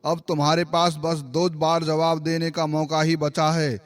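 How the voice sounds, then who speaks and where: A man voicing a solemn, fair-minded lion king in a cartoon fable, speaking in a measured, authoritative way. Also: a little high, completely flat delivery, clean tone, lightly acted. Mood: scolding. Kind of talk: animated moral story acting.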